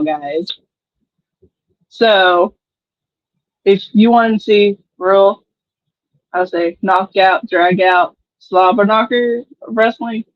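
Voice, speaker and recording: A woman's voice: medium pitch, no echo, dull audio. A woman wrestler from Dallas, confidently talking up her matches. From Dallas, Texas. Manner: confident, tough talk. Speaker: professional wrestler guest. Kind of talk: casual interview chat.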